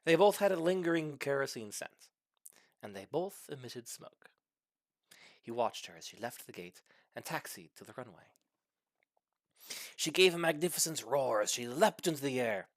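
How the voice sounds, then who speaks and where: A man narrates a comic short story from a script. He tells it in a mock-serious, dramatic way, at a middling pitch. The recording is dry, with very little room sound. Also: animated, moderate pace, long pauses, no room sound. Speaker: story reader.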